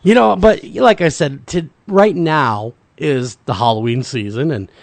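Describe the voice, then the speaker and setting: A man hosting a casual interview, upbeat and joking, a bit gravelly tonight, with frequent stammering restarts. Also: a little high, unhurried. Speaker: radio show host.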